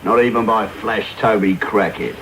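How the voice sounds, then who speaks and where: An actor playing a brutal, surly housebreaker, gruff and blunt, in a radio drama. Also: male voice, lowish voice, fairly even intonation, normal speed, a bit bright, close to an everyday voice. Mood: gruff, grudging. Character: brutal, surly male housebreaker, rough and threatening. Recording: very noisy medium-wave radio broadcast off tape.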